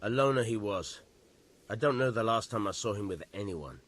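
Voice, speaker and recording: An actor playing a gruff, weary village bailiff in a video game, blunt and grudging in how he talks. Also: on the low side, animated, normal speed, fairly bright tone. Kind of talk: video game cutscene acting.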